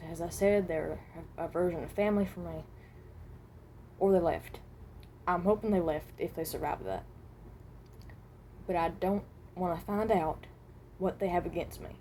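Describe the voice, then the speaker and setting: A woman voicing a weary, self-loathing animatronic rabbit, halting and often trailing off, in an amateur improvised monologue. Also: fairly low pitch, mellow, a little hiss or hum, close to an everyday voice. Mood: guarded, pained.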